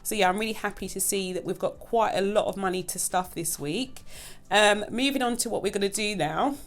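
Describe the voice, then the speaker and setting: A woman's voice: mid-range voice, brisk, faint music behind. A woman hosting her own budgeting channel, talking casually and chattily to her viewers. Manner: chatty, keeps addressing viewers as "guys". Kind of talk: casual vlog chat.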